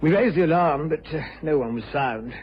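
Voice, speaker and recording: An actor playing a grieving country gentleman, father of a grown son, in a radio crime drama, earnest and emphatic. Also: on the high side, expressive, a little fast, husky. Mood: earnest recounting. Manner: earnest, emphatic, recounting witness. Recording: dull, hissy old radio recording.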